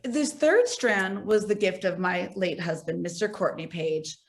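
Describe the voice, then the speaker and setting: A wealthy, worldly widow, played by an actress, speaking in a mid-pitched voice. She is self-possessed and matter-of-fact, delivering society dialogue from a stage comedy. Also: unhurried, close to an everyday voice. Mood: poised. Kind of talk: stage comedy acting over video call. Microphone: video call.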